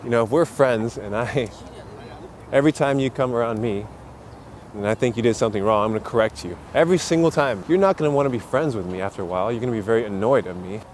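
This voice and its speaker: A man's voice: mid-range voice, fast, darkish tone. A man talking casually as he walks and explains things as an English teacher, full of uh and you know. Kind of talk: casual walking vlog. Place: busy city streets outdoors. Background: faint street and traffic noise.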